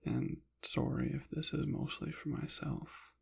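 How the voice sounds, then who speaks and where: Male ASMR voice, unhurried and halting, drifting between gravelly murmur and whisper, apologetic delivery. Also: mid-range voice, level tone. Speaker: ASMR channel creator. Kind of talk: soft-spoken ASMR ramble, partly whispered.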